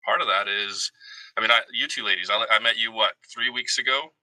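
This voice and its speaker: A man's voice: lowish voice, a little fast, sharp tone, no room sound, poor audio. A man speaking as a guest in casual conversation, candid and reflective about himself. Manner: reflective, self-revealing. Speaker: guest, retired army veteran. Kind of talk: candid casual personal talk.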